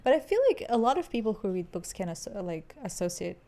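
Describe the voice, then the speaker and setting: A woman's voice: medium pitch, big pitch swings, fast talker, dark tone. Chatty woman, English as second language. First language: not English. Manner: chatty, goes off on tangents, muses aloud. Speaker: podcast co-host. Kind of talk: casual chat.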